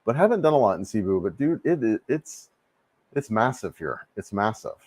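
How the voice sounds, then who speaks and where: A man's voice: on the low side, big pitch swings, moderate pace, darkish tone, no echo. A man in his fifties hosting a casual live stream, rambling and restarting sentences in a laid-back, friendly way as he answers chat. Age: 50s. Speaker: livestream host answering chat. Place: home room.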